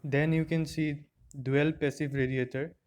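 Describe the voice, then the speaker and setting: Clear, even-toned man narrating a review. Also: fairly high pitch, measured pace. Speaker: product reviewer. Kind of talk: scripted unboxing and review narration. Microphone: earphone microphone.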